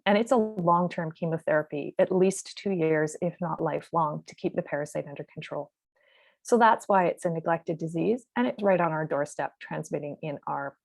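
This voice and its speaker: Low-voiced woman explaining her research. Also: a little mellow, no room sound. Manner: clear, explanatory, measured. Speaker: researcher presenting. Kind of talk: webinar presentation. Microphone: video call.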